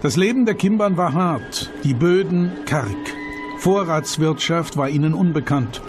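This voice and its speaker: Guy doing scripted docu voiceover, bit high pitched, dramatic measured delivery, music under it. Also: lively intonation. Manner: measured, dramatic phrasing with rhetorical questions. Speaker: documentary narrator. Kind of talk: scripted documentary narration. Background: background music.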